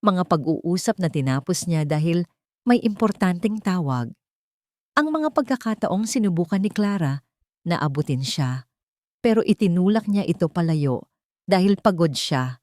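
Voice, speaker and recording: A woman's voice: lowish voice, expressive, moderate pace, a little mellow, dry recording, clean studio sound. A woman reading a dramatic story as an audiobook narrator.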